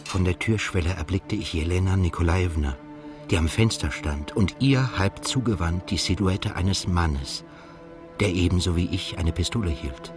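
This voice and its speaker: Brooding male narrator played by an actor for literary radio drama, low register, measured and melancholy, music underneath. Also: lightly acted. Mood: tense, numb. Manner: measured, introspective, scripted. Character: brooding, melancholy male journalist telling his story. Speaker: narrator and protagonist.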